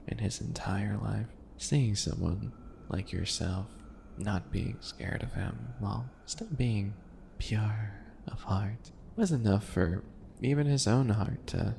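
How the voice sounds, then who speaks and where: A man playing a calculating, obsessive potion-maker in an ASMR roleplay, with a deliberate, mocking, theatrical delivery. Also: lowish voice, vocal fry, dark tone, a little hiss or hum.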